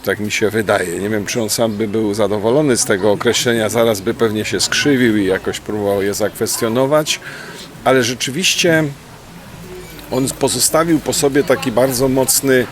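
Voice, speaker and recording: A poet being interviewed at a festival, a man speaking thoughtfully and hesitantly, with fillers. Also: mid-range voice. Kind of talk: spontaneous street interview.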